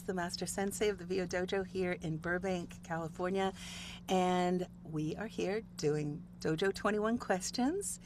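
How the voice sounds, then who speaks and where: A woman host and voiceover coach running a casual interview, friendly, with quick affirmations and frequent laughs. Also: fairly low pitch. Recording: audible background noise.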